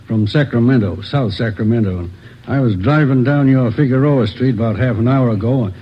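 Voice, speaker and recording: An actor playing a talkative motorist who is pleased with himself, in a 1950s radio drama. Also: male voice, mid-range voice, fairly quick, lightly acted. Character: chatty, self-satisfied male motorist. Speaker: witness, vacationer. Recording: dull old radio broadcast.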